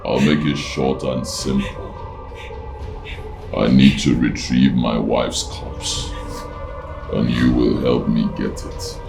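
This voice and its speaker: An actor playing a menacing male kidnapper who lays out his plan in slow, measured threats. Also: extremely low pitch, flat delivery, vocal fry, heavily acted. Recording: roomy echo, loud music behind.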